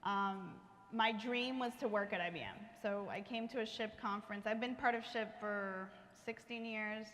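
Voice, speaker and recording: A woman's voice: medium pitch, moderate pace, hardly any pauses, fairly bright tone. A woman from Bolivia introducing herself on a panel in a friendly, storytelling way. Her voice carries the long reverb of a large hall, with faint background noise. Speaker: panelist, engineer. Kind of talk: live panel self-introduction.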